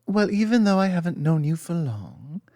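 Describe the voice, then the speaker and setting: A woman playing a loving, gently dominant lamia girlfriend in an intimate ASMR roleplay, speaking softly and tenderly with hesitant pauses. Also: lowish voice, sing-song, no room sound, clean studio sound. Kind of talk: ASMR roleplay, intimate one-sided conversation.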